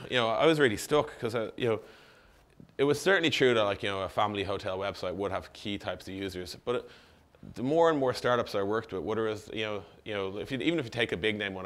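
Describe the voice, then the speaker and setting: A man presents informally to an audience. His slightly worn voice sits on the low side, and he talks very quickly. He is casual, dropping in asides and acting out bits of dialogue as he goes. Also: fairly bright tone. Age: 30s. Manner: casual, full of asides and quoted dialogue, mild swearing. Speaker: startup founder giving a conference talk. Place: on stage in an indoor event room. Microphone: lapel mic.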